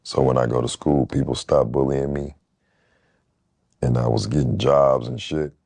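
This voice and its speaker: A man speaks in an extremely low, gravelly voice with a dark, mellow tone. He talks slowly and casually, relaxed and unforced, in a dry close recording. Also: dry recording. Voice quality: very deep, gravelly. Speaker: YouTuber in played clip. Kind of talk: casual interview clip.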